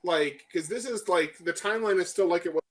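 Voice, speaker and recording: Higher-voiced man chatting at a game table. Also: a little fast. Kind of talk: casual game-table chat.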